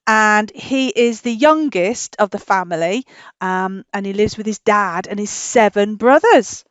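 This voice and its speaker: A woman hosting a children's storytime, telling a tale informally in simple, animated explanations. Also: medium pitch, a little slow, clear, resonant tone, dry recording. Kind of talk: informal storytelling to a puppet dog.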